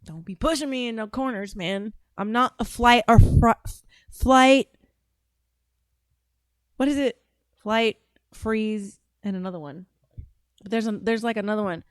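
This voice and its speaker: A woman hosting a casual call-in show. Her voice sits fairly high. She talks bluntly, swears freely and laughs often, close to a dry microphone with no room sound. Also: a little slow, long pauses, no room sound. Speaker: podcast host taking calls.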